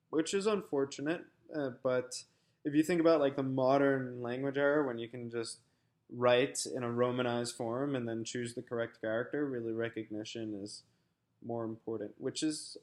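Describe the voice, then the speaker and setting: A young American man, a graduate student, giving a recorded personal statement in a halting, thoughtful way with many fillers and restarts. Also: mid-range voice. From United States.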